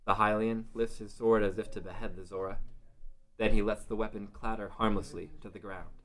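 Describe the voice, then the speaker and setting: Low-pitched male story narrator. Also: level tone, fairly quick, a bit bright. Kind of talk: scripted story narration at a table read.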